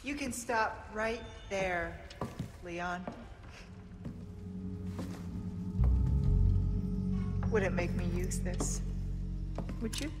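An actress playing a cool, secretive agent in a video game, with a controlled, terse delivery. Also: female voice, on the low side, takes it slowly, over loud music. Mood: cool, teasing. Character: cool, secretive female agent, adult, commanding and guarded. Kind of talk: video game cutscene acting.

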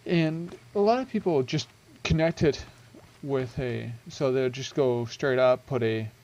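A man's voice: medium pitch, measured pace, darkish tone, lo-fi sound. A young man casually walking through a game build he made, rambling and correcting himself with many ums, into a headset mic at home. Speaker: gaming video creator.

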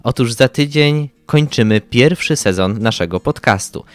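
A man's voice: mid-range voice, animated, normal speed, no echo. A young man presenting a podcast as co-host, speaking from prepared material. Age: young adult. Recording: music playing behind the voice. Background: background music.

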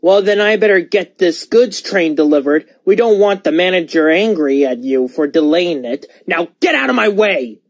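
A woman's voice: on the low side, lively intonation, normal speed, hardly any pauses. A woman voicing a spiteful, hot-tempered villain steam engine in a cartoon, sneering and threatening. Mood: hostile.

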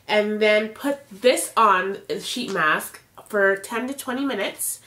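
A woman's voice: mid-range voice, fairly bright tone. A young woman in her twenties, congested and nasal from a sinus infection, chatting through a casual beauty product review for her channel. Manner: chatty and upbeat, with fillers like "uh" and "you know". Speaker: beauty vlogger. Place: indoor home filming setup. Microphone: camera at close range, facing the camera.

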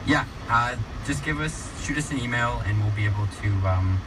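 A man's voice: mid-range voice, expressive, moderate pace, far from the mic, noisy background. A young man, talking casually as an interview guest. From Alberta, Canada. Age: teens, high school senior. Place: radio studio.

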